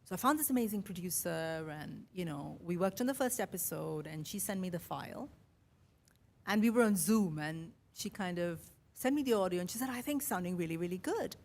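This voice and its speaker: A middle-aged woman with an Indian accent, a mix of Mumbai, Kerala and London, telling a personal story in a chatty, animated way as a podcast host. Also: on the low side, brisk. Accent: Indian English, Mumbai and Kerala with London influence. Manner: chatty, animated, quotes her own thoughts.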